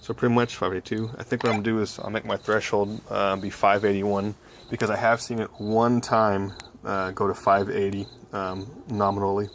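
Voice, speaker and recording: A young man giving a casual project walkthrough in a low-key, hesitant way, thinking aloud as he goes. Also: level tone, normal speed, hardly any pauses, slight background noise. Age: young adult. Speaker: hobby electronics vlogger. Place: small cluttered home workbench indoors. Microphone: handheld phone camera.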